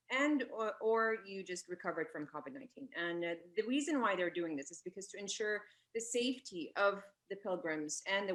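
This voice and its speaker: Female interview guest, lowish voice, earnest, hesitant, self-correcting. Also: a bit bright. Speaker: radio guest. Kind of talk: explanatory interview answers.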